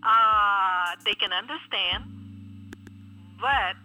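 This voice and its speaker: A woman's voice: medium pitch, very slow, bright, forceful tone, poor audio. A woman from the Philippines, a Tagalog speaker, chatting unhurriedly with her son and laughing easily. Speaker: interviewee, the host's mother. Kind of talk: casual interview conversation. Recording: music bed under the voice.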